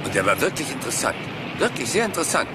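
An actor playing a rumpled, unhurried police inspector in a German crime radio play, casual and understated. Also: male voice, fairly high pitch, very animated. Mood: wry, probing. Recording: very noisy street with traffic behind.

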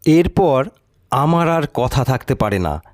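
A man giving a deliberate, dramatic first-person narration of a story. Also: medium pitch, very animated, darkish tone.

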